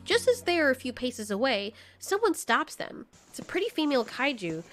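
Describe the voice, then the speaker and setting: A woman's voice: sits high, big pitch swings, moderate pace, a bit bright, faint music behind. A woman narrator reading a scripted story in an audio drama.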